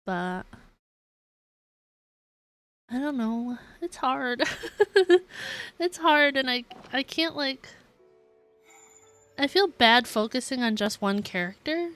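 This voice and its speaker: A woman's voice: high-pitched, expressive, slow, long pauses, fairly bright tone, clean tone. Female streamer, casual and chatty, rambling live gameplay talk with lots of "like", light music underneath. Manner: rambling, giggly, hedging with frequent "like". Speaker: game streamer. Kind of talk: casual live-stream chat while playing a game. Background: game music.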